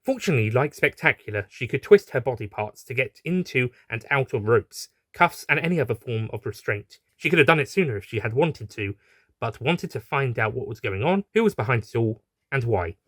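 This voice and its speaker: A man's voice: medium pitch, animated, a little fast, fairly bright tone, dry recording. Man narrating a story, dry close sound.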